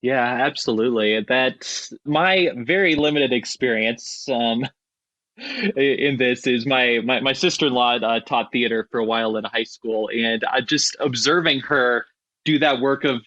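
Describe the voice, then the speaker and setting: A man's voice: mid-range voice, unhurried, pushed and bright, dry recording, muffled recording. A man hosting a podcast in a casual, conversational interviewing style. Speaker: podcast host.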